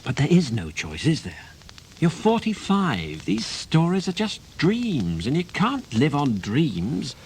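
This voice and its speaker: A man's voice: on the high side, wildly swooping pitch, slow pace, a little mellow, slight background noise, full character voice. An actor playing an insistent newspaperman in a radio play, firm and pressing. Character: persuasive, insistent English newspaper heir and friend.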